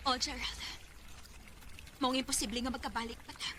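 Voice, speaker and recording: Woman acting an estranged young wife, high voice, Cebuano accent, apologetic yet firm, radio drama, noisy recording. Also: fairly even intonation, moderate pace, lightly acted. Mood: sorrowful, firm. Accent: Filipino, Cebuano.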